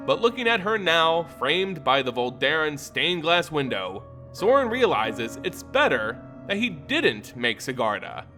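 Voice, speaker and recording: A man giving a dramatic, theatrical scripted narration of a fantasy story, with deliberate emphasis. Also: fairly high pitch, sing-song, bright, forceful tone. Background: music.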